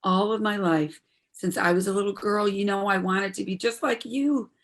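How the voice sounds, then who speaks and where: A woman's voice: medium pitch, moderate pace, close to an everyday voice. A woman playing an earnest, troubled daughter in her twenties in a stage drama, speaking in a heartfelt, pleading way. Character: earnest, troubled 26-year-old daughter, medical student. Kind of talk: stage play dialogue, audio performance.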